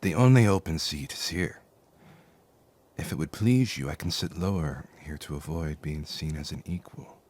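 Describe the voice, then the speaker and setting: An actor playing a weathered, courteous, philosophical cart driver in a fantasy audio drama, speaking formally and reflectively. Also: male voice, a bass voice, normal speed, darkish tone. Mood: humble, courteous.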